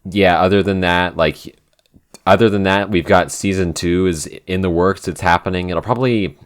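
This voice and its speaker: A man's voice: deep voice, fairly even intonation, normal speed. A man co-hosting a podcast, chatting in a casual, unscripted, rambling way with an enthusiastic manner. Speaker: podcast co-host and show creator.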